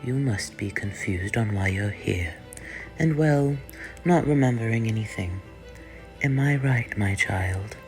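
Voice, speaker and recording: A man doing a stern, hushed old ruler. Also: fairly low pitch, lively intonation, a little slow, soft background music, poor audio. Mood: soothing. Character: stern older male ruler, cold but soothing.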